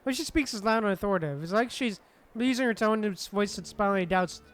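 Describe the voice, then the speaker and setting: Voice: medium pitch, fast talker, soft background music. A streamer giving casual playthrough commentary and reading game dialogue aloud.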